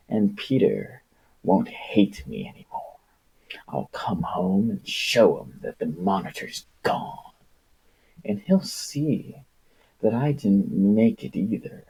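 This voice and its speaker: A man's voice: fairly low pitch, gravelly, warm tone, husky, heavily acted, rough recording. A man voicing an anxious, hopeful young boy thinking to himself in a novel, with a resigned manner. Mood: wistful.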